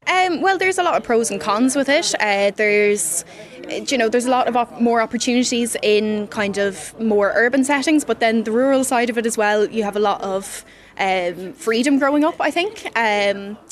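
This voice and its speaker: A young woman student from the west of Ireland, speaking hesitantly with many pauses. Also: on the high side. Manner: hesitant, lots of ums.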